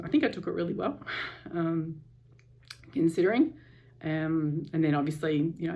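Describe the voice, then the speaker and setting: A woman talking to camera at home in a casual personal vlog, calm and candid, with frequent pauses and restarts. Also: sits low. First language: English. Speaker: vlogger.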